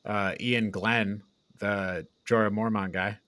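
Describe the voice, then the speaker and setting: A man's voice: on the low side, fairly even intonation, slow pace, bright, forceful tone, smooth, dry recording. A man in a casual podcast review, giving opinionated, mildly exasperated criticism. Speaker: podcast co-host reviewing films. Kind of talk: casual chat, film review.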